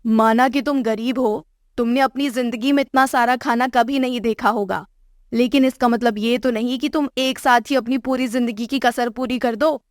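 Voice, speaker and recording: A woman's voice: fairly high pitch, steady tone, moderate pace, no echo, clean studio sound, natural voice. A woman voicing a haughty, scolding middle-aged mother-in-law in a cartoon moral story. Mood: angry nagging. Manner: haughty, nagging, insulting.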